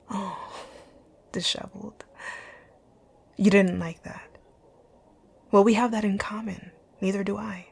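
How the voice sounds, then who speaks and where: A woman playing a rich, dominant boss in an ASMR roleplay, speaking in a curt, commanding way with a soft, breathy, husky voice. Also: medium pitch. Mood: cold, bossy. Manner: curt, commanding, breathy, often near a whisper. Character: rich, rude, dominant female boss, stern but secretly caring. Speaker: audio roleplay voice actor.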